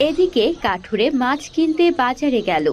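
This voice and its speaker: A woman narrating a fairy-tale cartoon in plain, steady storytelling Bengali. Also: high voice, moderate pace.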